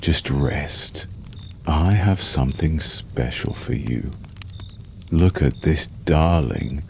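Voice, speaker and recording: Very deep, slow vampire lover acted by a man. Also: expressive, vocal fry, a little mellow, a little hiss or hum, heavily acted. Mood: soothing, tender. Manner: slow, soothing, menacingly tender, lingering pauses. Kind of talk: ASMR roleplay, intimate storytelling.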